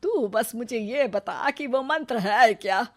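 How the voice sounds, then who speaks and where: An aggrieved older mother-in-law from a cartoon moral story, acted out by a woman. Her voice sits a little high and moves slowly, with plaintive, self-pitying drama as she complains about being sidelined. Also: lively intonation. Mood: eager.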